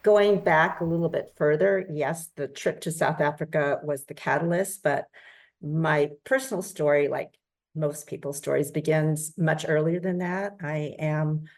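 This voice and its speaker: A middle-aged woman with a low voice and a gravelly edge, her first language Spanish. She talks earnestly and reflectively, with plenty of ums and uhs as she gathers her thoughts, like a guest on a podcast. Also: steady tone, a little slow. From United States. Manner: earnest, reflective storytelling with fillers. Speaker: nonprofit founder. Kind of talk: podcast interview answer.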